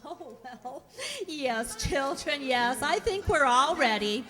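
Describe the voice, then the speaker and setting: A woman's voice: high-pitched, animated, takes it slowly, fairly bright tone, heavily acted. An actress playing a motherly, outspoken poor clerk's wife in a radio drama, fussing and emphatic. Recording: reverberant hall recording.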